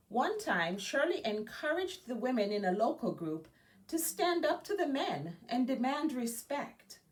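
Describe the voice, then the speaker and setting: A woman reading a children's picture book aloud, phrasing deliberately and stressing key words. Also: high voice, a little mellow, distant mic. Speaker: guest storybook reader.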